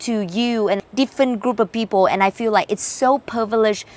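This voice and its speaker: A woman's voice: on the high side, fairly quick, darkish tone. A woman from Vietnam hosting an interview, chatting and asking questions in broken English. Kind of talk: casual interview chat.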